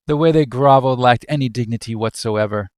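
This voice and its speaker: A man's voice: medium pitch, brisk, a little mellow, smooth, no echo, clean studio sound, natural voice. A man playing a pompous, stern and scornful banker father who blusters haughtily in old-fashioned phrases.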